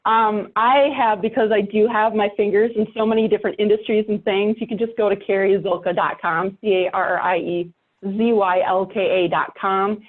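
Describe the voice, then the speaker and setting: A woman's voice: mid-range voice, steady tone, moderate pace, phone-quality sound. A woman speaking casually as a guest in a podcast interview.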